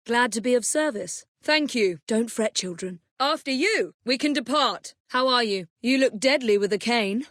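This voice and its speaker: A young Victorian English assassin from a video game, confident and commanding. Her voice sits high and moves with lively, swooping intonation. The delivery is brisk, dryly witty and well-mannered, recorded close and dry. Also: dry recording. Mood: composed, wry. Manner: crisp, commanding, wry, polite.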